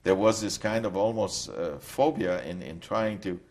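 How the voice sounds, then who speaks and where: An older man recounts his memories in a slow, reflective way, often pausing to find his words. His voice sits very low. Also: a little mellow. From Poland. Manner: reflective, halting storytelling. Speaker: interviewee. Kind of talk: recorded testimony.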